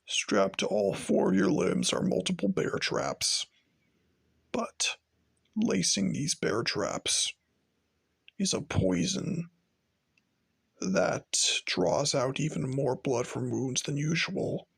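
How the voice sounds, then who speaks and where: A man voicing a sinister, sadistic killer taunting a captive in a slow, menacing, gravelly horror monologue. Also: on the high side, big pitch swings, full character voice. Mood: whispered menace. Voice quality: gravelly, husky, dropping into whispers.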